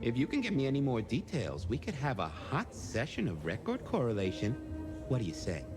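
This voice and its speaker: A man's voice: mid-range voice, huge pitch swings, brisk. An actor playing a flirtatious, theatrical male police records clerk in a video game, coy and playfully helpful, with music behind him. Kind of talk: video game dialogue acting.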